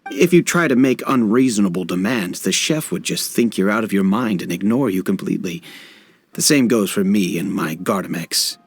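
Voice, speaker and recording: Casting: affable, easygoing guard officer in a game, slightly deep voice, highly expressive delivery, wry and chatty. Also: male voice, normal speed, no echo. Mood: matter-of-fact, explaining. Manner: casual, chatty, jokingly self-deprecating. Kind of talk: video game dialogue acting.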